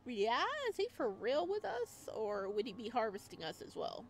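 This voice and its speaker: A woman's voice: fairly high pitch, big pitch swings, normal speed, faint music behind. A woman hosting a gaming video, reading a visual novel aloud and adding casual, slangy asides. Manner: informal, slangy, reacts in asides.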